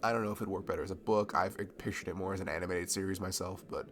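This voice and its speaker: A man's voice: sits low, steady tone, very fast, fairly bright tone. A man playing a shy, nerdy young man in a romantic ASMR roleplay, rambling and stammering toward a longtime friend. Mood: giddy, nerdy. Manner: rambling, hesitant, stammering, nervous laughs. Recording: clearly audible background noise.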